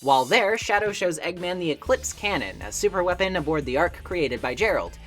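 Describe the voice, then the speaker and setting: Low voiced woman doing a wry video essay narration, super expressive pitch, music playing behind her. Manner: wry, conversational, articulate. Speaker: video essayist, game reviewer. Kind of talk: scripted video essay narration, plot recap with wry asides. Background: background music.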